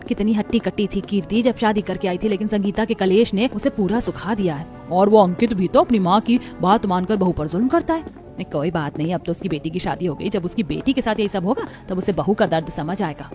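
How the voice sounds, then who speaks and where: A woman's voice: medium pitch, fairly quick, a little mellow, soft background music, voice pushed down, close to an everyday voice. A woman voicing an opinionated, gossiping middle-aged neighbour in a cartoon story. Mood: disapproving.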